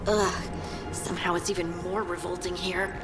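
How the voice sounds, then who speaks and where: An actress playing a wry, informal adventurer companion in a fantasy video game. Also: female voice, fairly low pitch, level tone, normal speed, close to an everyday voice. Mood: uneasy. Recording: loud game music behind the voice.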